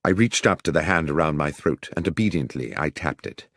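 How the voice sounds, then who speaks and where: A man reading a detective audiobook as a dramatic first-person narrator, expressive and full of suspense. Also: a bass voice, fairly quick, no room sound, studio quality.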